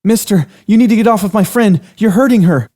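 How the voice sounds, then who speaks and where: A man voicing a terrified young waitress who speaks in a high, quivering voice on the edge of tears. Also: a little fast, dark tone. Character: frightened young woman waitress, fragile, sobbing.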